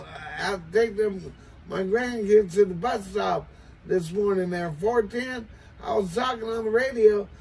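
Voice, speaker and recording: A man's voice: high-pitched, vocal fry. An older American man calling out on CB radio in a chanting, repetitive way, recorded indoors from a distance. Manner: chanting, repetitive sign-offs. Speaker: CB radio operator. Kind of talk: CB radio calling with repeated catchphrases. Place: small cluttered home radio shack, indoors. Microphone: far camera, while speaking into CB desk microphone. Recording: distant mic, some background noise.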